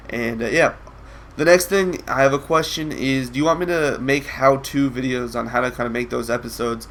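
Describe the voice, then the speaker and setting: A man's voice: mid-range voice, fairly bright tone, a little hiss or hum. A young man with a nasal, congested voice, feeling sick, giving casual commentary over a video game match with lots of ums and restarts. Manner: rambling with many ums and restarts. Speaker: gameplay commentator.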